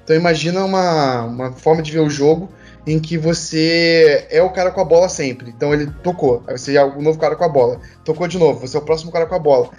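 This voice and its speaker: A man's voice: on the high side, quick pace, few pauses, a little mellow, faint music behind. A man with a Brazilian accent speaking casually in a panel discussion, thinking aloud in a discursive way and explaining through everyday examples. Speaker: panel participant.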